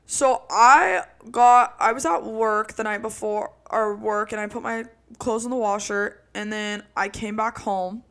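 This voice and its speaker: A young woman co-hosting a podcast, telling a roommate story in casual chat with a loud, projecting voice and lots of "like". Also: fairly high pitch, unhurried, hardly any pauses. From Italian roots. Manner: animated storytelling with frequent "like". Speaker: podcast co-host.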